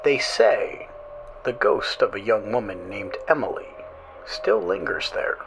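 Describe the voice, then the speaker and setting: A man hosting a horror radio show, reading creepy stories in a slow, eerie, dramatic way, with music playing under his voice. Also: lowish voice, big pitch swings, gravelly, low-quality recording. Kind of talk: scripted horror narration.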